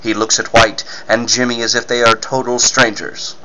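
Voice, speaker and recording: A man's voice: medium pitch, flat delivery, normal speed, pushed and bright, highs cut off. A man reading scripted narration as a dramatic 1940s-style radio serial announcer.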